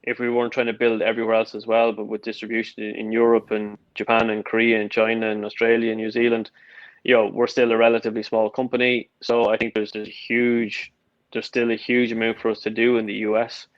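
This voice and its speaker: A man's voice: fairly low pitch, completely flat delivery, fast talker, clean tone, dry recording. A man in his thirties with an Irish accent, talking casually over a video call as an interview guest, with lots of "look" and "yeah" fillers and restarts. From Ireland. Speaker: guest, golf company founder. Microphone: video call, built-in mic. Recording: dull lo-fi call audio.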